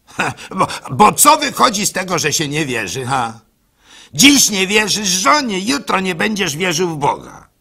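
A man playing a naive, trusting village baker, telling his story as an expressive, halting radio monologue. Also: high-pitched, vocal fry, husky, full character voice. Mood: defiant, earnest. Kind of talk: dramatic first-person radio monologue.

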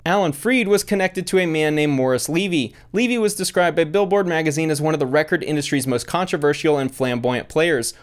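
A man's voice: fairly high pitch, a little fast, few pauses. An American man in his thirties delivering scripted narration as the host of a video essay, close on a desk microphone. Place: small room with bookshelves, indoors. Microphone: desk condenser mic with pop filter.

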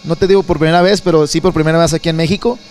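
A Mexican man in his thirties from Sinaloa, answering interview questions at an indoor expo booth into a handheld mic, relaxed and rambling with many repeated words. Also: a little high, rapid-fire, faint music behind. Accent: Sinaloan Mexican Spanish. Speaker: songwriter and singer.

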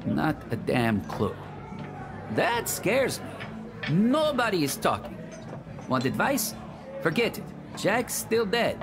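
Bitter noir game lowlife, slow and brooding, music behind. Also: male voice, wildly swooping pitch, exaggerated voice. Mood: grim, tense. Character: grim, jittery male underworld friend, bitter about the city. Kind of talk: noir video game voice acting.

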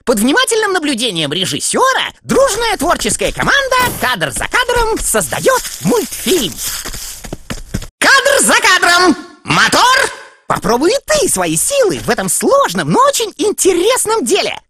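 A woman voicing a small, excitable male cartoon rabbit who cheerfully explains things to children in an expressive, theatrical way. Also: very high-pitched, normal speed, no room sound, clean studio sound, heavily acted. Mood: playful, indignant.

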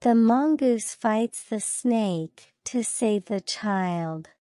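A woman's voice: on the high side, lively intonation, very slow, mellow, no echo, clean studio sound. A woman storyteller reading a scripted children's fable as narration.

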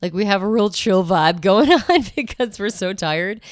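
A woman's voice: medium pitch, lively intonation, normal speed, no echo, clean studio sound. A female podcast co-host chatting in a reflective, chill way, tired and slightly losing her voice. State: tired, voice partly worn. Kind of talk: casual podcast chat.

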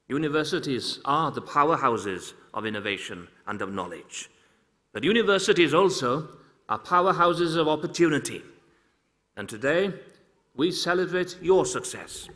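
A man's voice: fairly high pitch, a bit bright. Older Welsh man orating in a hall. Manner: measured, oratorical, rising on key words. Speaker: university official giving a graduation address. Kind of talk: formal ceremonial speech. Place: large theatre stage at a graduation ceremony. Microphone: lectern microphones. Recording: long hall reverb.

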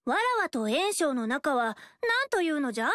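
An inquisitive young noblewoman chatters in quaint, antique phrasing in a game. Her voice is very high and sounds dry and close to the mic. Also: very animated, moderate pace, a bit bright, no room sound. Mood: playful. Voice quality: archaic princess-like speech, childlike inquisitive. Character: playful, curious young noble girl with old-fashioned speech. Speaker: game character. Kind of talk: game story dialogue.

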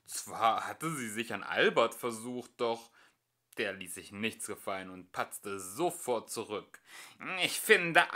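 Lively man reading a children's story. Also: mid-range voice. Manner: expressive storytelling with acted voices. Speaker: amateur audiobook reader.

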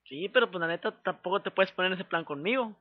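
A man's voice: high-pitched, fast. A man with a northern Mexican accent talking in pushy, threatening street slang on a prank phone call, repeating himself. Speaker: prank caller. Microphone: telephone call. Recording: muffled, very lo-fi phone call audio.

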